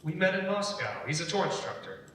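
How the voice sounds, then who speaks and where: A man's voice: sing-song, fairly quick, a bit bright. A man acting a sociable, teasing man in his twenties in a staged dramatic reading, recorded distantly in an echoey hall. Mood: sociable, upbeat. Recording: echoey hall, far from mic, rumbly noise.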